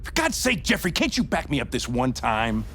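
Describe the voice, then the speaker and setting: A man with an American accent playing a stubborn, gruff police detective, insistent and profane, in a video game. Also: mid-range voice, wildly swooping pitch, moderate pace, bright, forceful tone, a little hiss or hum, full character voice. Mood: indignant, pleading. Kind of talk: cinematic video game acting.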